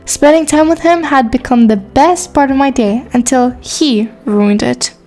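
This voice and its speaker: A woman playing a shy, guarded teenage girl in an amateur romance drama, reading a little haltingly. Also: sits high, warm tone. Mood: wistful. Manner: hesitant, halting reading with stumbles. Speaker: character and first-person narrator. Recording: music behind the voice.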